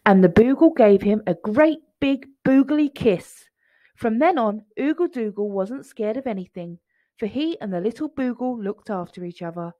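A woman's voice: fairly high pitch, lively intonation, moderate pace, no room sound, dull audio. A woman reading a children's picture book aloud in a storytelling style.

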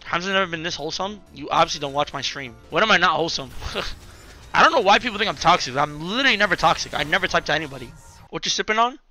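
A man's voice: fairly high pitch, lively intonation, fast talker, bright, forceful tone, soft background music. A man in his twenties giving casual live game commentary, firing off repeated short callouts with slang and swearing. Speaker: streamer. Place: indoors in a furnished room.

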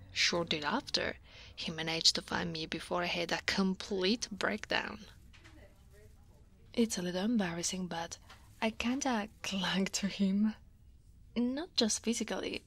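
A weary female dragon office worker, played by a woman for an ASMR roleplay. She speaks very slowly at a medium pitch, soft and breathy, often dropping to a half-whisper. She is easily flustered and hesitant. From Italy.

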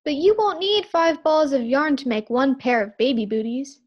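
A woman's voice: high voice, normal speed, muffled recording, lightly acted. A woman acting a naive, wry, loyal housemaid in a 1940s-style radio sitcom.

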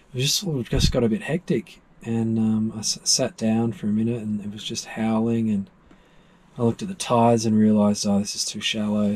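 A man's voice: on the low side, vocal fry, warm tone, a little hiss or hum, low-quality recording. A worn-out man in his thirties, rambling through a casual recap with lots of ums while lying in the cramped cabin of a small boat. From Australia. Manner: rambling, many ums and restarts. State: exhausted. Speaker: solo sailor vlogger. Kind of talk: tired casual recap to camera. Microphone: camera close in front, lying down.

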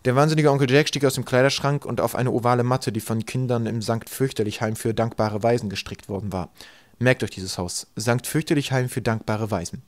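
A man reads a comic children's audiobook at a brisk clip. His mid-pitched voice delivers the absurdities deadpan, as dry scripted narration. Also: no echo.

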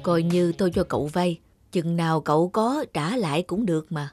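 A man playing a firm, measured old grandfather. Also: fairly high pitch, darkish tone, faint music behind, natural voice. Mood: calm, earnest. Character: kindly, firm elderly father and grandfather.

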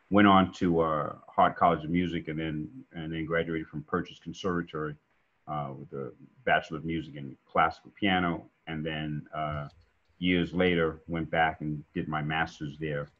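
A middle-aged man, chatting relaxed as a guest on a video call, with many fillers. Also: rumbling low, normal speed, husky. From New York. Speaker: guest musician. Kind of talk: casual interview over video call. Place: home room indoors. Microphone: video call webcam. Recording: dull lo-fi call audio.

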